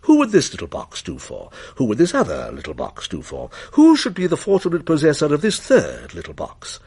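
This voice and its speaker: A male narrator tells a literary tale in a measured way. His pitch moves widely and expressively, with some gravel and a slightly rough edge to the voice. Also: huge pitch swings, a little mellow. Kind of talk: scripted dramatic story narration.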